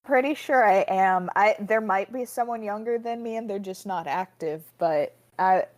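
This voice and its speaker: A woman's voice: medium pitch, moderate pace, a little mellow. A young woman on a podcast, the youngest of the group, chatting casually. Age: young adult. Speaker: podcast co-host. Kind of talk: casual chat.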